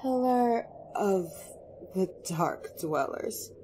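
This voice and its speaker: A woman's voice: mid-range voice, lively intonation, slow pace, darkish tone, a little hiss or hum. A woman playing a haughty, scornful elven queen in a fantasy audio drama, with an imperious, disdainful delivery. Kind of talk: fantasy audio roleplay acting.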